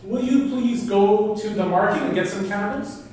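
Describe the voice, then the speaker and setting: A man's voice: high voice, normal speed, a little mellow, a little hiss or hum, pitched above the performer's own voice, natural voice. A man voicing an eager, polite grown daughter in a folk tale told live. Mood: welcoming, polite. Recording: very echoey room, distant mic.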